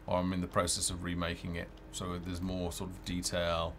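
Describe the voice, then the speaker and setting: A man's voice: deep voice, monotone, fairly quick, fairly bright tone, a little hiss or hum. A middle-aged man giving casual live commentary on a game he develops, hesitant and thinking aloud as he plays, on a headset. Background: game music.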